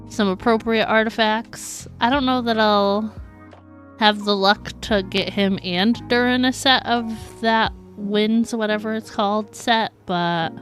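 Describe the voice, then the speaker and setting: A woman's voice: mid-range voice, fairly bright tone. A woman livestreaming a game, chatting casually and in a giggly way. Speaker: game streamer. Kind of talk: casual livestream chat over gameplay. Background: game music.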